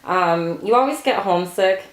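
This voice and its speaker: A woman's voice: mid-range voice, moderate pace, roomy sound. A woman in her late thirties, born in Portugal, answering family interview questions with frequent laughter. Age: late 30s. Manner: laughs often, relaxed storytelling.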